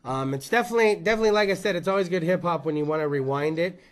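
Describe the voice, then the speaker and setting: A man hosting a podcast interview, with loose, joking, profane chat and quick questions. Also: a little high. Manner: loose, swearing, jokey, asks quick questions. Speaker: podcast host.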